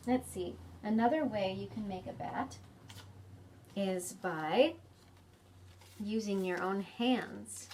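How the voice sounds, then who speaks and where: A woman's voice: mid-range voice, slow, pauses often, a little hiss or hum. A woman with an American accent hosting a preschool storytime, speaking simply and encouragingly to young children. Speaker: children's librarian, storytime host. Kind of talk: preschool storytime craft instruction. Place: indoors in a library.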